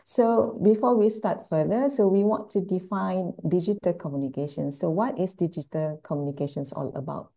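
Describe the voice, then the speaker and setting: A woman's voice: on the low side, moderate pace, gravelly, few pauses, warm tone. A woman with a Malaysian accent giving a conference presentation, explaining things step by step with repetition and rhetorical questions. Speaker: university speaker at an online conference. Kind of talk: plenary presentation, lecture.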